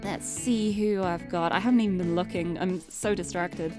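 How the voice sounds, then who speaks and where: A young woman streamer chatting casually and cheerfully, her voice getting a little hoarse and tired. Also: lowish voice, steady tone, moderate pace. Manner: bubbly, chatty, restarts words often. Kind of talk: casual live-stream chat. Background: background game music.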